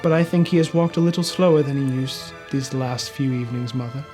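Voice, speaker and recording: A man's voice: mid-range voice, a little fast, darkish tone, soft background music, natural voice. A man voicing an earnest young son in a storybook reading, in a subdued manner.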